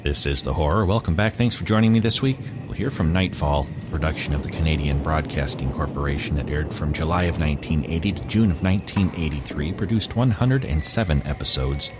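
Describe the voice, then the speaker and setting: A man with an extremely low voice hosts a podcast. He reads scripted, factual introductions to vintage radio programmes. There is noticeable noise behind him. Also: fairly quick, few pauses. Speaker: podcast host. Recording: clear background noise.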